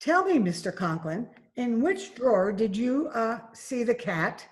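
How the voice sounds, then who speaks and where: A woman's voice: on the high side, very animated, measured pace. A woman playing a suspicious, prim, disapproving mother in old-time radio comedy style. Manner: prim, skeptical. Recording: faint noise and clicks, remote recording.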